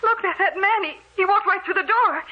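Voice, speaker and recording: An actress playing a prim, excitable office receptionist in 1940s radio drama style. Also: female voice, extremely high pitch, fairly quick, lo-fi sound. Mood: terrified. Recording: faintly hissy old radio recording.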